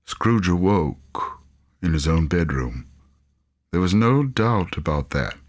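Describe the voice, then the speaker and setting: A man's voice: low voice, sing-song, takes it slowly. A man reading a classic story as an audiobook narrator, in a dramatic literary storytelling style with long hall-like reverb. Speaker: audiobook reader.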